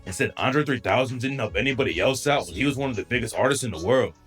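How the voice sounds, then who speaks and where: A man's voice: medium pitch, fast, fairly bright tone, slightly rough, faint music behind. A man reading scripted narration in a slangy, gossipy storytelling way, as the narrator of a hip-hop news video.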